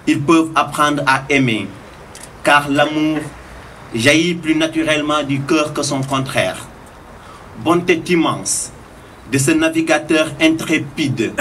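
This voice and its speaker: A man reciting a poem of tribute in a solemn, oratorical manner with measured phrasing. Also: on the high side, bright, forceful tone. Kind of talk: declaimed poetry recital. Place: live recital at a public tribute event. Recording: distant microphone with faint noise.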